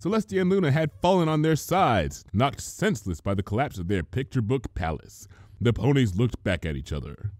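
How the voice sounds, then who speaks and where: Guy narrating a story, really lively pitch, expressive, dry recording. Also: brisk, dry recording. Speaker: story reader. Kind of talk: scripted narration, expressive fan fiction reading.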